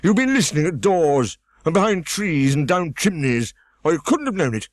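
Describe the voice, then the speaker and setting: A man's voice: on the high side, expressive, moderate pace, no room sound, studio quality, voice pushed up, lightly acted. A man voicing a pompous, easily offended egg who sits on a wall and boasts grandly. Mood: grandiose.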